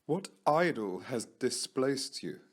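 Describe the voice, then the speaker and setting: A man's voice: medium pitch, big pitch swings, takes it slowly, no room sound, clean studio sound. A man voicing a proud, defensive, money-hardened man in a classic storybook tale, curt and impatient. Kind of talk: dramatised audiobook reading of a classic tale.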